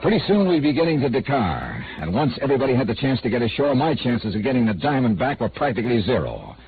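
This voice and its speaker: An actor playing a dry, weary, hard-boiled investigator in 1950s radio noir style, wry and terse. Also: male voice, medium pitch, wildly swooping pitch, fast. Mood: tense, weary narration. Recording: old narrow-band radio recording with music.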